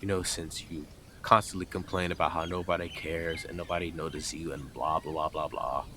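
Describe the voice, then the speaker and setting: A man's voice: sits low, steady tone, a little fast, background noise, natural voice. A man playing a blunt, sarcastic, teasing stalker who is devoted to the listener in an intimate roleplay audio drama. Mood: smug, mocking.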